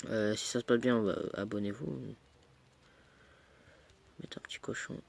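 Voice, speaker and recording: A man's voice: lowish voice, fairly even intonation, a little slow, gravelly. A young man with a cold, casually commentating his own mobile game match, chatty and self-mocking, muttering as he plays. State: stuffy with a cold.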